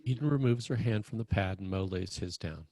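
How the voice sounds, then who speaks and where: A man's voice: lowish voice, fairly quick, dark tone, dry recording. A man narrating screenplay stage directions in a scripted read.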